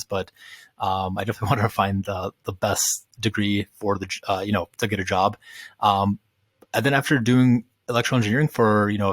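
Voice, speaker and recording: A man's voice: on the low side, dry recording. A man in his late twenties who grew up in the US after early childhood in Ukraine, talking in a rambling, casual way as a podcast guest, with many fillers and restarts. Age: 20s. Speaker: podcast guest, community founder. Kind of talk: casual interview talk.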